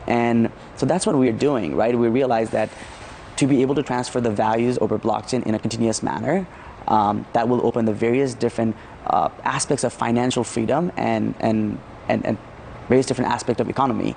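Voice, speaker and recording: A man's voice: medium pitch, fairly even intonation, fairly quick, gravelly, a little mellow, a little hiss or hum. A man from Nepal answering interview questions as a startup founder, often repeating words and checking in with "right?".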